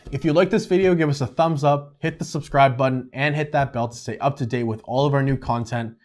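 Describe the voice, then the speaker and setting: A young man presents a scripted product review from a desk in a studio. His voice sits at an ordinary middle pitch. He reads to camera at an even, upbeat pace. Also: a little fast. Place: studio, seated at a desk before a purple backdrop.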